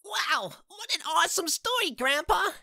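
A man voicing an excited, wide-eyed little child in an eager cartoon style. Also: very high voice, normal speed, vocal fry, no room sound, studio quality, voice pushed up, full character voice. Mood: excited, awed. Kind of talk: cartoon character acting.

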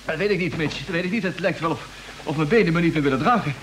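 Male actor, anxious crewman in vintage space radio play, rapid delivery, faint noise on the recording. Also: on the high side, fairly bright tone, lightly acted, rough recording. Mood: bewildered. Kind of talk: 1950s radio drama acting.

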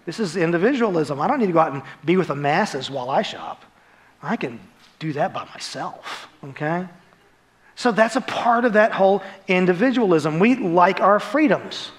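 A man's voice: sits high, lively intonation, normal speed. An American man in his forties preaching a sermon in a conversational, emphatic way, often tagging points with short questions. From United States. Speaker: pastor. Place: large dark church auditorium, on stage.

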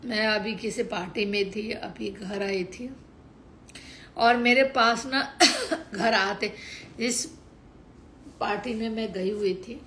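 A hoarse woman from Haryana ranting crudely and combatively on a late-night live stream from home, swearing heavily into her phone. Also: medium pitch, slow, pauses often, fairly bright tone, slight background noise. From Haryana, India.

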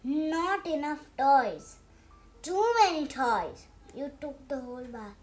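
A six-year-old girl voicing a stubborn, dramatic cartoon pigeon from a picture book, in a halting, exclamatory way. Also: high voice, animated, soft background music. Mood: loud, fussy. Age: child, six years old. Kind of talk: picture book read aloud.

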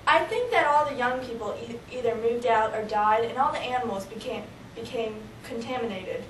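A woman's voice: a little high, brisk, a bit bright, close to an everyday voice. A young teenage girl playing a weary doctor in an amateur school skit, with stiff scripted delivery. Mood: somber. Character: weary doctor lamenting radiation victims, woman. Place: small office meeting room indoors. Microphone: camera across the room. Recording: distant, noisy, echoey camcorder sound.

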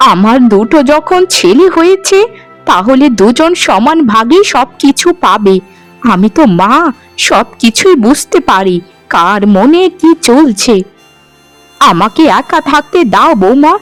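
Woman playing a stern high-voiced old mother-in-law. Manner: stern, scolding. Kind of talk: cartoon voice acting.

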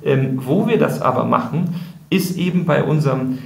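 A man's voice: medium pitch, expressive, moderate pace, gravelly. A man speaking as the guest in a podcast interview, explaining things thoughtfully and restarting sentences often. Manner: thoughtful, many fillers and restarts. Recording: roomy echo.

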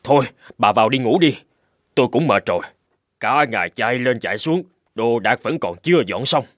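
An actor playing an anxious, kindly middle-aged homeowner in a cartoon ghost story, given to dramatic talking to himself. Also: male voice, medium pitch, a little fast, no echo, studio quality, close to an everyday voice. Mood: puzzled musing. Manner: expressive, dramatic, muttering to himself. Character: anxious, kindly older male homeowner, newly moved in.

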